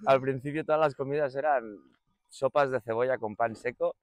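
A man's voice: medium pitch, fairly even intonation, fairly quick, dry recording. Spanish shepherd, a man speaking casually. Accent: Spanish from Catalonia. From Barcelona, Spain. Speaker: shepherd, livestock farmer. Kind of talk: casual interview answers, personal storytelling.

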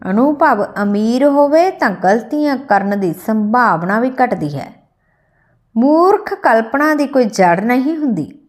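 A woman reads a scripted inspirational piece as an audiobook narrator, in measured, declamatory short phrases. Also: fairly high pitch.

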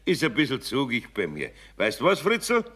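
An actor playing a grumbly but kindly older master craftsman with a Bavarian accent and a raspy voice, in a children's radio play. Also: medium pitch, wildly swooping pitch, moderate pace, a bit bright, exaggerated voice.

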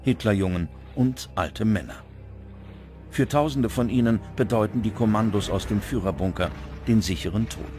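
A man reading scripted documentary narration in a measured, dramatic way, recorded as a studio voice-over. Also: fairly low pitch, darkish tone, faint music behind.

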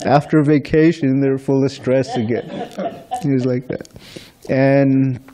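A man's voice: medium pitch, fairly even intonation, a little slow, crowd noise. A young man from the Philippines giving an informal scripture class in a temple hall, telling stories in a chatty way into a stand microphone. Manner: chatty, storytelling with light laughs. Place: large temple hall, indoors. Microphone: stand mic in front of seated speaker.

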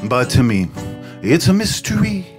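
An older man, a singer-songwriter, singing his own songs into a stand mic on a small cafe stage, with soft, halting spoken introductions between songs. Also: mid-range voice, sing-song. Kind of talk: singing original songs with acoustic guitar. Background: his own acoustic guitar.

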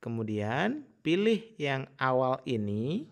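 Man with lively, varied pitch. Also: slow, vocal fry. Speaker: tutorial presenter. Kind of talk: casual step-by-step screen tutorial.

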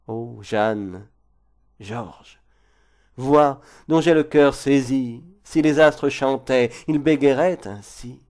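A man recites verse at a slow pace in a mid-pitched voice. His delivery is expressive and declamatory, with measured phrases set apart by dramatic pauses, in the manner of a literary reading. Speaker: poetry reader.

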